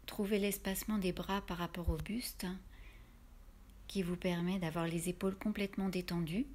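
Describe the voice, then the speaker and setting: A woman leading a guided yoga relaxation in a soft, breathy voice, giving soothing, unhurried instructions with pauses. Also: lowish voice, fairly even intonation.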